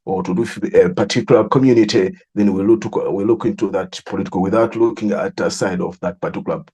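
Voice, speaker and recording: A middle-aged man with a Malawian accent, explaining his work in an interview in a deliberate way, repeating phrases for emphasis. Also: deep voice, fairly even intonation, mellow, breathy edge. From southern Malawi. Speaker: religious leader and mediator interviewee.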